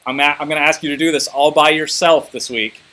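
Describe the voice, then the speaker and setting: A man's voice: on the high side, steady tone, fast talker, sharp tone, a little hiss or hum. A man teaching a class informally, thinking aloud and prodding students with questions.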